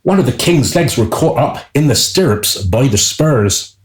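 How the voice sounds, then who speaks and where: Narrator, wry male servant, played by an actor. Radio play storytelling, arch, mid-pitched, swooping delivery. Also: expressive, measured pace, reverberant, close to an everyday voice. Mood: amused. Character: wry, self-serving male servant narrating his tale.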